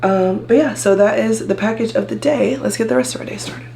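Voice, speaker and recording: A young woman vlogging casually to camera, chatty and rambling, her voice deeper than usual after losing it, with air conditioning humming around her. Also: brisk, creaky, dark tone. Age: young adult. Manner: chatty, rambling, says y'all a lot. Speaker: vlogger, nursing student. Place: coffee shop and car. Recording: noisy background with air conditioning.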